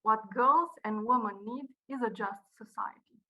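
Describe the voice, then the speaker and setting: A woman from Romania with a Romanian accent, a non-native English speaker, delivering a prepared speech in an earnest, measured way with occasional restarts, over a video call. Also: fairly high pitch, phone-quality sound. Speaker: university director giving a speech.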